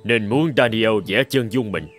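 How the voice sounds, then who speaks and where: A man voices a humble, dignified older gentleman in a cartoon. He talks in a measured, gracious manner, with music clearly behind him. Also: fairly low pitch, close to an everyday voice. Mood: reflective. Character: humble, dignified older billionaire posing as a beggar. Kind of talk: dubbed cartoon dialogue.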